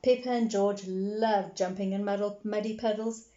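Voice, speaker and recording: A woman reading a children's story aloud in an expressive style, addressing her young listeners directly. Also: medium pitch, moderate pace, muffled recording.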